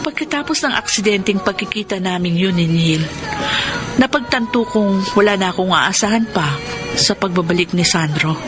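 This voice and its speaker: An actress playing a heartbroken young woman in a Filipino radio drama, speaking with sorrowful, earnest feeling and dramatic pauses. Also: medium pitch, expressive, natural voice. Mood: sorrowful, resigned. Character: heartbroken young woman, ashamed of her past. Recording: music bed under the voice.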